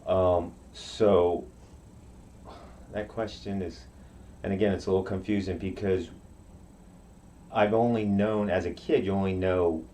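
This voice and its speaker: A man raised in Okinawa whose first language is Japanese, telling his story in a halting interview with many restarts. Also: low-pitched, fairly even intonation, mellow, slight background noise. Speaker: guest.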